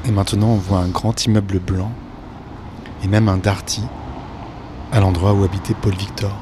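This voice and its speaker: Gentle, probing male reporter. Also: sits low, little pitch movement, moderate pace, noisy background. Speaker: podcast host, reporter. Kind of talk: narration and street interview. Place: outdoors on a city street.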